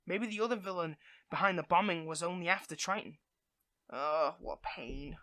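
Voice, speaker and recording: A performer voicing a theatrical supervillain who is tender with a partner, in an intimate audio roleplay that moves between softness and grandiose menace. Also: medium pitch, normal speed, no echo, studio quality, close to an everyday voice. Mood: brooding, annoyed. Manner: switches between soft affection and grandiose menace.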